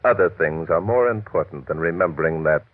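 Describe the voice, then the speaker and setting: An actor playing a genial, quick-witted amateur detective, urbane and teasing, in 1940s radio drama style. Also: male voice, low-pitched, very noisy, natural voice. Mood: wry, patient. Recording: muffled old radio recording.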